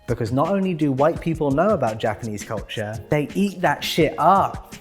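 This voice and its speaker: A young man with a British accent, narrating a personal video essay with dry, sarcastic humor. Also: mid-range voice. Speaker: YouTuber. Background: background music.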